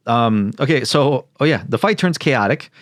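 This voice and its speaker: A man's voice: medium pitch, expressive, normal speed, dry recording, clean studio sound. A man co-hosting a podcast, recapping stories in casual comedic banter with swearing and frequent laughter. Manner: profane, joking, laughs often. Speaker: podcast co-host.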